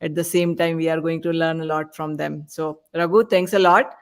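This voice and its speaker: A woman hosting a panel podcast, talking with many pauses and fillers. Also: sits low, little pitch movement, clear, resonant tone. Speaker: podcast host. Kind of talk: casual panel chat.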